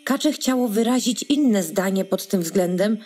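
A woman narrates a fairy tale at a slow pace. Her voice is husky and sits at a medium pitch, with faint music behind it. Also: warm tone. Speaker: storyteller.

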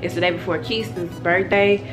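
A woman's voice: lowish voice, fairly even intonation, moderate pace, over music. A young woman with a cold, chatting casually to her phone camera at home with a congested, nasal voice. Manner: chatty, rambling, addresses viewers as y'all. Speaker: family vlogger. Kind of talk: casual vlog chat to camera. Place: bedroom at home, indoors. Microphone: phone camera held at arm's length. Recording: noisy home phone recording.

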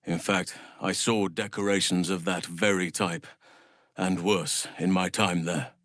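An actor playing a solemn, gentle-hearted druid healer and warrior in a video game, speaking formally and with measured earnestness. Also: male voice, low voice, steady tone, no room sound, clean studio sound. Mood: solemn, thoughtful.

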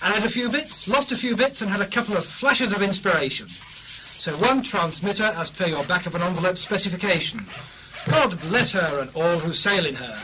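A man's voice: high-pitched, expressive, normal speed, bright, forceful tone, slight background noise, low-quality recording. A British man playing a practical, grumbling 1920s radio engineer in a radio play. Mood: proud. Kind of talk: radio play acting, period dialogue.

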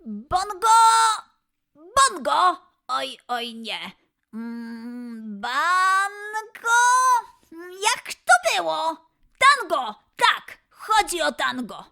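Very high voice, animated, earnest young lion boy, acted by a woman, kind, excitable, children's tale. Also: very slow, gravelly, heavily acted. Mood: eager, searching. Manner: explaining patiently, exclaiming eagerly. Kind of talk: children's audio fairy tale acting.

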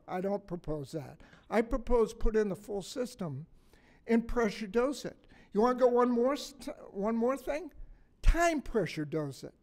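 An older man in his sixties with a slightly raspy voice, giving a blunt, folksy conference talk from a podium mic in a hotel meeting room, joking and asking the audience questions. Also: sits high, a little mellow. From Massachusetts, USA. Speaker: conference speaker. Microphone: stand mic on a podium.

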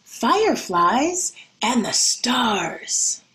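A woman teacher reading a picture book and talking to young children in an expressive, deliberate, child-directed way. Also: on the high side, poor audio. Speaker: schoolteacher reading to pupils. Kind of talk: picture-book read-aloud and talk to children.

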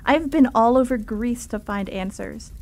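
A woman's voice: fairly high pitch, moderate pace, a little mellow, lightly acted. An actress playing a determined, grieving young woman seeking truth in an audio drama. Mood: pleading resolve. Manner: earnest, insistent.

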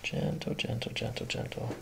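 A man's voice: normal speed, very noisy. A man in his thirties working as a chiropractor, talking a patient through a hands-on exam with instructions and short check-in questions. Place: small carpeted clinic treatment room. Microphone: microphone on a boom arm near the table.

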